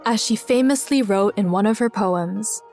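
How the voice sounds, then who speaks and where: A woman narrates a scripted children's story in a measured, clearly enunciated way. Her pitch sits in an ordinary middle range for a woman, with soft music underneath. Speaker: narrator of a children's biography podcast.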